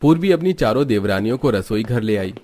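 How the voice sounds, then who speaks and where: A man narrates a scripted cartoon story. His voice sits on the low side, and he tells the family tale as a storybook narrator. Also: animated, fairly quick, warm tone, faint music behind.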